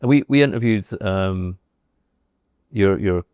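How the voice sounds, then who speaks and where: A man's voice: sits low, slow pace, darkish tone, clear, resonant tone, dry recording. A man hosting a podcast interview, asking short questions and prompting his guest along. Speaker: podcast host. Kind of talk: casual interview conversation.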